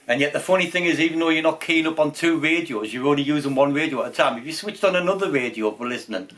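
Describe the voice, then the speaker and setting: A man's voice: fairly high pitch, fast talker, fairly bright tone. Man rambling casually. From England. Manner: rambling, repeats himself. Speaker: amateur radio channel host. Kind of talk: casual unscripted vlog talk.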